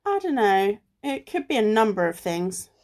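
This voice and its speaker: A woman playing a clever, level-headed amateur sleuth in an amateur radio play, with a measured delivery. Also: medium pitch, big pitch swings, darkish tone, lightly acted. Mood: focused. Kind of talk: amateur radio play acting, often reading articles aloud. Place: recorded alone at home.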